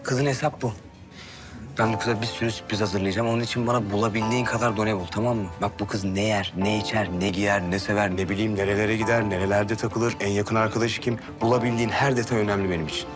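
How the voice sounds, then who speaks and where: Actor doing determined lovestruck guy, tv drama, talks fast, music in back. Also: lowish voice, steady tone, natural voice. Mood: eager. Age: 30s. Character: determined, lovestruck younger brother, used to giving orders. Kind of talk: TV drama acting, conversational. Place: restaurant interior.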